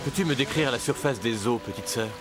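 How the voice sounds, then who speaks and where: Man acting protective brotherly hero, gentle explaining, middle pitch, cartoon, music underneath. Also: fairly quick, lightly acted. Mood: reassuring. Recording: music behind the voice.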